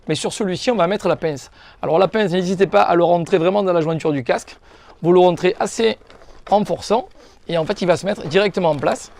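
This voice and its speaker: A man's voice: sits high, gravelly, a little mellow, no echo. A man giving an informal, step-by-step product tutorial as a shop presenter, explaining things directly to viewers.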